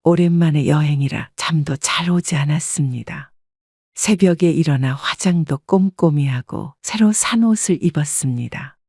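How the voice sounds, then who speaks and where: Calm woman narrating a story. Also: low-pitched, no room sound, studio quality. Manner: calm, measured. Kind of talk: first-person scripted story narration.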